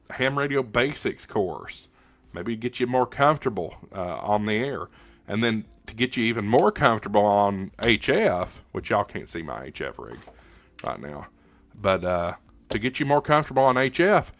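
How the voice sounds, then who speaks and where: A man's voice: mid-range voice, a bit bright, no room sound. An American man hosting a livestream, chatting casually in an informal, folksy, joking way as he talks to viewers. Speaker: livestream host. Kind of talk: casual livestream chat reading viewer comments.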